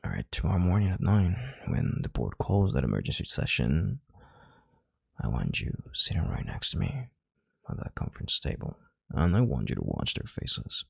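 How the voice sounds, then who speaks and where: An extremely low, husky male voice acted by a man plays a domineering CEO in an intimate audio roleplay. He murmurs with heavy vocal fry and calm authority, condescending yet tender. The sound is close and dry. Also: moderate pace, no echo, exaggerated voice. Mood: commanding, reassuring. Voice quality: very deep, gravelly, breathy murmur.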